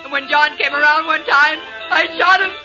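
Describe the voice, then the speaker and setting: An actress playing a nervy widowed murderess on the edge of hysteria in a 1940s radio drama. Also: female voice, sits high, moderate pace, close to an everyday voice. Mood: hysterical. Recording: dull vintage radio with loud music.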